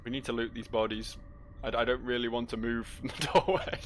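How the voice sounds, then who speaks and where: A man's voice: medium pitch, little pitch movement, brisk, slight background noise. A man giving casual let's-play commentary and reading game journals aloud in a dry, joking, profane way. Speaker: video game player and host.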